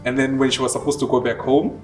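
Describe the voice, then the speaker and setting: A man's voice: mid-range voice, level tone, fast talker, over music. A man in his twenties with a Southern African accent, casually telling his own story and acting out remembered dialogue. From Zimbabwe and South Africa. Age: 20s.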